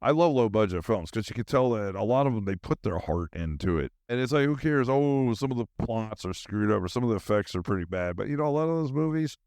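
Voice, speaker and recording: A man's voice: on the low side, animated, fast talker, hardly any pauses, a little mellow, no room sound, clean studio sound. A middle-aged man hosting a podcast, talking in a loose, meandering, informal and slangy way about his own life. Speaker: podcast host. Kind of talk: rambling casual personal monologue.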